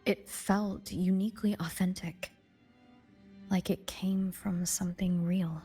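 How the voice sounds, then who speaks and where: Game role: composed, probing young woman. Dark, husky, soft, understated. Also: medium pitch, fairly even intonation, slow. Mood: calm, probing. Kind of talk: understated video game voice acting. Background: background music.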